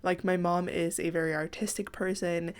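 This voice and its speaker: A young woman with a congested, slightly raspy morning voice, rambling casually through a voice-over about a house she built in a game. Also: lowish voice, flat delivery, normal speed. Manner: rambling, self-correcting, apologetic. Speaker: game building creator.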